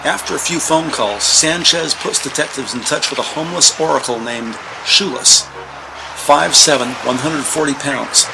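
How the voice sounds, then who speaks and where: A man's voice: a little high, vocal fry, background noise. A man giving scripted, present-tense narration for a crime drama.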